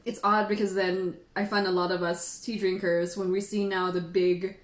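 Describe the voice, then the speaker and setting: A woman's voice: mid-range voice, little pitch movement, normal speed. Chatty, laughing woman tea host. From Philippines. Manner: chatty and playful, laughs often. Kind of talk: casual livestream chat answering viewers. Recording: echoey room.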